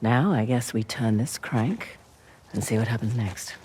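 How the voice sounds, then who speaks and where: A woman's voice: rumbling low, a little slow, a little mellow, slight background noise. A woman playing a wry, teasing, adventurous treasure hunter in a video game cutscene. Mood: wry, easygoing.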